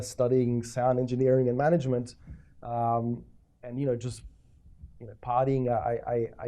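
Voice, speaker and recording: A man's voice: mid-range voice, warm tone. A man in his forties from Croatia, a guest telling his story in a casual interview, with many fillers and repeated starts. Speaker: festival founder, guest.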